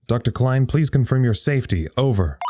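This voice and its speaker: A man playing a wry, tired research scientist reporting live in an audio drama, halting and understated. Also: mid-range voice, animated, mellow, slightly rough, no room sound. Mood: anxious, official. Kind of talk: audio drama acting as a live radio log.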